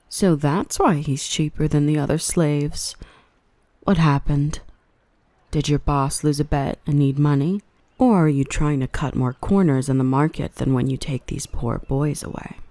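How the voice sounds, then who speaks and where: A woman playing a dominant yet protective dark elf mistress in an ASMR roleplay, by turns cutting and tender. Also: low voice, unhurried, dry recording. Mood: cool, suspicious. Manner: stern and cutting to traders, tender and coaxing to the human. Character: dominant female dark elf, protective, gentle with her rescued human.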